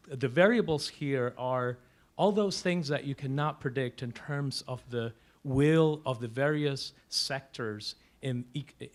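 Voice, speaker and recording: A man in the audience posing a long, academic question. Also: mid-range voice. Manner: academic, wordy. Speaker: audience member. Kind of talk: asking a question.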